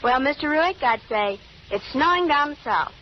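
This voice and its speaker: High-voiced perky schoolgirl. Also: animated, normal speed. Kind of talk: scripted 1930s radio ad dialogue. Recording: muffled old radio recording, faint hiss.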